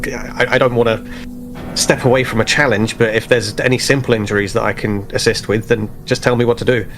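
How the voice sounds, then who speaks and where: A man playing an earnest, helpful young ensign in a tabletop game, polite and steady. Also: medium pitch, brisk, faint music behind, lightly acted.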